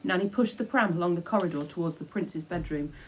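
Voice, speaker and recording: A woman reading a children's book aloud in an expressive, dramatic storytelling style. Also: fairly low pitch, fast, rough recording. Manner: animated, dramatic. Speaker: storybook reader. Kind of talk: expressive children's audiobook narration.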